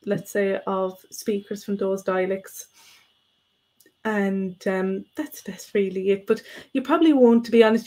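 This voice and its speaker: A woman's voice: mid-range voice, darkish tone. Encouraging woman co-host. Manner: encouraging, thinks aloud with restarts. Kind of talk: casual advice chat.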